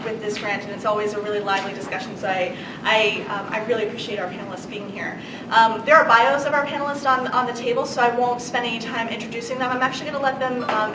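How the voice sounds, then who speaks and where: Female student moderator, friendly, raised pitch, loud background noise. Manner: friendly, filler-heavy. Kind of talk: moderating a panel. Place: conference room. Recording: echoey room, far mic, loud noise.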